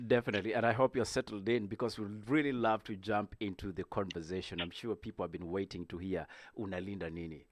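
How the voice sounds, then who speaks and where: A man's voice: on the low side, steady tone, dry recording. Man hosting a political talk. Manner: measured, hesitant with fillers. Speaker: host.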